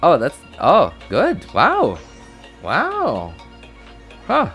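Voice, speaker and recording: A man giving casual, chatty live gameplay commentary as a streamer, thinking out loud and reacting as he goes. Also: fairly high pitch, huge pitch swings, extremely slow, faint music behind. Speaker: game streamer.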